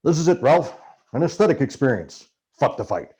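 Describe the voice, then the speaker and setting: A man acts out a profane American journalist in an audiobook, ranting and paranoid. His husky voice leaps about as he fires off emphatic, urgent commands. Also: mid-range voice, very animated, normal speed, darkish tone. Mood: defiant, contemptuous. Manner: urgent, barking, emphatic orders. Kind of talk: dramatized audiobook dialogue.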